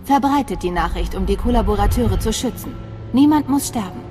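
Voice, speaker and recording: A woman's voice: mid-range voice, expressive, brisk, over music, close to an everyday voice. An actress playing a cool, self-assured female spy in a video game, confident and controlled. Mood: decisive. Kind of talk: dramatic video game acting.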